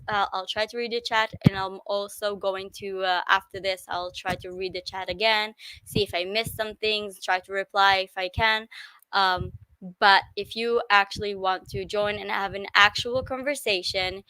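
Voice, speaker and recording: A woman's voice: medium pitch, pushed and bright, clear, resonant tone, no room sound, low-quality recording. A woman with an Israeli accent and Hebrew as her first language, hosting a casual live stream in hesitant English with frequent self-corrections.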